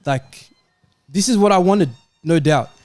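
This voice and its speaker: High-pitched man, plaintive podcast monologue. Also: unhurried, darkish tone, no room sound, faint music behind. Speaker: podcast co-host. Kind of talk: comic mock-sad monologue to friends.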